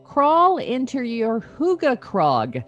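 Female host, lively and varied intonation, conversational interview delivery, faint music. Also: on the high side, drawn-out words, lo-fi sound. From Canada. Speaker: show host. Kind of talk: chatty interview.

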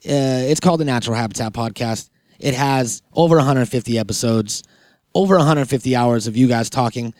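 A man's voice: medium pitch, a little fast, darkish tone, no room sound, clean studio sound. A man hosting a comedy podcast in casual chat, joking, profane and rambling. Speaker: podcast host.

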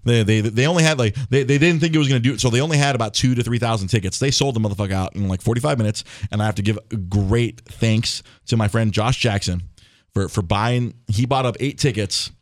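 A man hosting a casual podcast chat, talking in an animated way, swearing, laughing and stumbling over his words. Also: medium pitch, fast, dry recording, studio quality. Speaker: podcast host.

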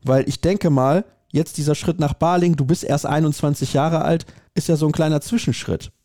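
Male interviewer, conversational, warm mid-pitched voice, dry studio sound. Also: fairly even intonation, brisk, no room sound. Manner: conversational, repeats words for emphasis ("sehr, sehr"). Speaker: podcast host. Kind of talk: interview questions and commentary.

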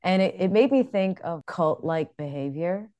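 A woman with an American accent, co-hosting a podcast in casual, animated chat. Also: fairly low pitch, normal speed, lo-fi sound. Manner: chatty, animated storytelling with frequent laughs. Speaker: podcast co-host.

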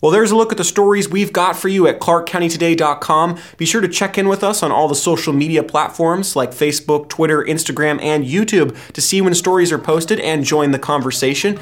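A man's voice: fairly high pitch, brisk, hardly any pauses. A man reading scripted local news stories as the anchor.

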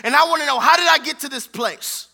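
A man's voice: very high-pitched, quick pace, sharp tone. An American man preaching a fervent sermon with emphatic, repetitive rhetorical phrasing.

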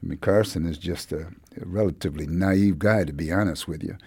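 A middle-aged man giving conversational political commentary as a panelist, frank and measured with some hesitation. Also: deep voice, darkish tone, breathy edge, no echo. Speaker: newspaper journalist as panel commentator. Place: radio studio.

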